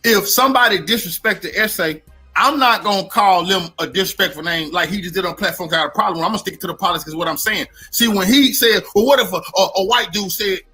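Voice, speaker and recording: High-pitched, forceful, husky male commentator. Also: quick pace, faint music behind. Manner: forceful, emphatic, repetitive, street slang. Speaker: livestream commentator. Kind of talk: opinionated live commentary.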